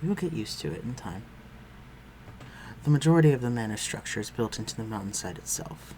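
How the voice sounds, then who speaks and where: A man playing a refined, protective vampire lord in an intimate audio roleplay, speaking formally and with measured command. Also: fairly low pitch, very animated, a little mellow, slight background noise, low-quality recording. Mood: calm, guiding. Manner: formal, measured, archaic phrasing, dropping to breathy murmurs.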